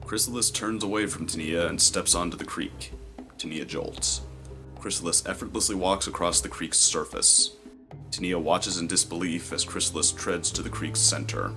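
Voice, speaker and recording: A man's voice: sits low, steady tone, moderate pace, over music. A man giving scripted narration of the action in an audio drama, as its narrator.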